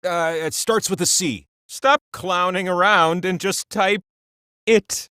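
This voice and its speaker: An actor playing a quirky, excitable trivia host in a party video game, with a theatrical, playful delivery and exasperated asides. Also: male voice, high voice, slow, clear, resonant tone, no room sound, studio quality, exaggerated voice. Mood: playful, coaxing. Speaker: game show host character. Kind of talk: quiz hint narration for a party video game.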